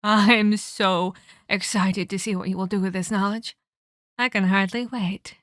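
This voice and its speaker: A woman's voice: medium pitch, steady tone, unhurried, a bit bright, no echo, studio quality, close to an everyday voice. A woman playing a sadistic, scheming, centuries-old female vampire in an ASMR roleplay, haughty and taunting, with formal, scolding phrasing. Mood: gleeful taunting.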